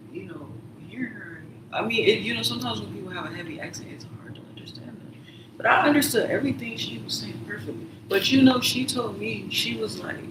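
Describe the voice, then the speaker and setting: A man telling a story in casual chat, rambling with many fillers and sometimes dropping to a whisper. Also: high voice. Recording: roomy, distant mic, noisy background.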